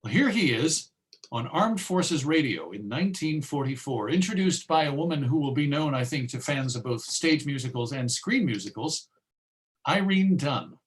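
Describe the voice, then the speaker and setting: A man speaks as a presenter in a medium-pitched voice. He gives an informative talk on music history to explain how things came about. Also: expressive, moderate pace.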